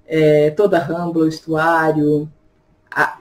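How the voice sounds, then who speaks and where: A woman's voice: sits low, flat delivery, takes it slowly. A woman from Brazil talking casually to her channel in a reflective personal vlog, chatty, often checking in with her viewers. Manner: chatty, reflective, frequent tag questions. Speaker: vlogger and tarot reader.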